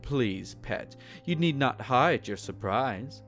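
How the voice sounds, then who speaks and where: A man's voice: mid-range voice, expressive, slow pace, a bit bright, soft background music. A man playing a possessive, teasing vampire in an intimate roleplay audio, speaking in formal, silky tones and courtly endearments. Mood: courteous, curious. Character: possessive, teasing, courtly male vampire, centenarian yet youthful. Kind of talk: intimate roleplay audio, scripted character acting.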